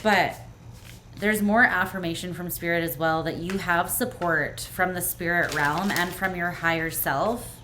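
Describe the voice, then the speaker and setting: Low-voiced woman, voice going hoarse. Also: measured pace, background noise. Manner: earnest, reassuring, with frequent "like" and "um" and repeated throat clearing. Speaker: tarot reader. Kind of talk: pick-a-card tarot reading, speaking to the viewer.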